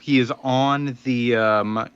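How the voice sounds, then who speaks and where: A man's voice: medium pitch, fairly even intonation, slow, a bit bright, clean tone, no echo, highs cut off. Man recapping a comic casually. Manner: rambling recap with filler words and chuckles. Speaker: podcast co-host. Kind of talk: casual comic book discussion.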